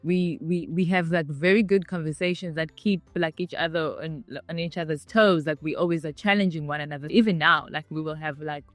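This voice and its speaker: A woman's voice: low-pitched, steady tone, a little fast, few pauses, dry recording, soft background music. A woman, telling her story casually with lots of "like" fillers. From South Africa. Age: 30s. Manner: chatty, with many "like" fillers. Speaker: interviewee.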